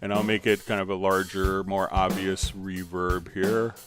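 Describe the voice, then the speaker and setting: A man hosting a live software tutorial, explaining step by step and informally thinking aloud as he demonstrates. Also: low-pitched, level tone, a little slow, over music. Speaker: software instructor and livestream host.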